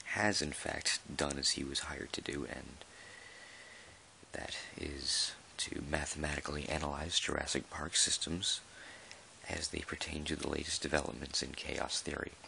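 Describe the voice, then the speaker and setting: A man playing a measured, dry park employee who dictates an official audio log in a halting, deliberate way, in ASMR roleplay style. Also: extremely low pitch, little pitch movement, vocal fry, pushed and bright, slight background noise, heavily acted. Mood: dry, careful. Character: male dinosaur park employee, measured, dryly put-upon record keeper.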